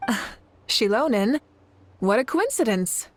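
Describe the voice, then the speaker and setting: Composed, fairly high-voiced woman leader. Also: sing-song. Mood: hesitant. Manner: measured, assured, wry. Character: composed, righteous woman leader with dry playful side. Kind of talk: anime video game acting. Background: music.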